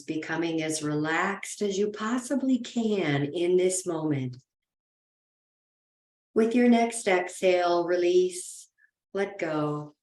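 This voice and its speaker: A woman leads a guided relaxation in a roomy, echoing space. Her voice sits a little low, and she speaks very slowly and soothingly. She leaves gentle pauses between phrases as a yoga nidra guide. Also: slight background noise.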